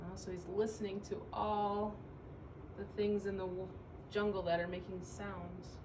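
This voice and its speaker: A woman reading a children's picture book aloud expressively, pausing to explain the pictures in simple words. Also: medium pitch, level tone. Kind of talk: read-aloud storytelling with simple asides. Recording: distant mic with faint background noise.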